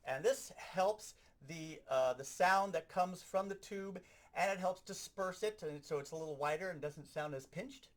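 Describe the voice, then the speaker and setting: A man giving an animated, explanatory, hands-on lesson as a sound effects instructor. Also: sits high, moderate pace.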